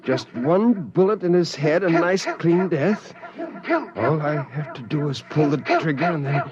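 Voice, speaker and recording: A man's voice: on the high side, measured pace, a loud crowd, lightly acted. An actor playing a bitter, idealistic male astronaut turned rebel, brooding in 1950s radio drama style. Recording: lo-fi old radio recording.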